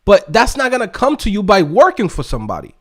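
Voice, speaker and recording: A man's voice: sits high, wildly swooping pitch, quick pace, fairly bright tone. A man from the Dominican Republic giving a casual motivational rant as an online host and coach, emphatic and streetwise, with lots of slang and tag questions. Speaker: YouTube host and developer coach.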